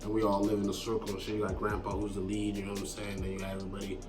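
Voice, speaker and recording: Low-voiced young man, slangy and laid-back. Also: completely flat delivery, racing. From born in Kenya to Somali parents, moved to America at eleven. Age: 20s. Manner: laid-back, rambling, peppered with slang and swearing. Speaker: beat producer being interviewed. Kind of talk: casual, slangy interview chat. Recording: distant mic with music behind.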